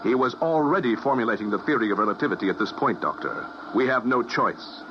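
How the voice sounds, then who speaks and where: Actor as a brisk, exacting physicist, mid-pitched, hissy old radio tape. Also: male voice, animated, muffled recording, lightly acted. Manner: precise, instructive, hurried. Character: brisk, precise male physicist running a time machine. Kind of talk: 1950s radio drama acting.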